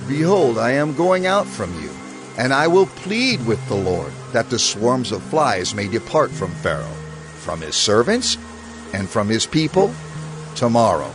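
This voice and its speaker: An animated, earnest prophet Moses. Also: male voice, medium pitch, unhurried, music in the background. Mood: earnest insistence. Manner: earnest, emphatic, declamatory. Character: Moses, earnest, bold Hebrew prophet pleading and warning. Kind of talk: dramatized scripture audio drama.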